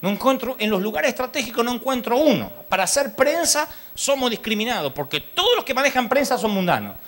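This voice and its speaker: A man with an Argentine accent preaching a sermon at a church congress, telling lively stories and mimicking the people in them. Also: extremely high pitch, fairly quick. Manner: lively storytelling, mimics people in his anecdotes; rises to loud exhortation.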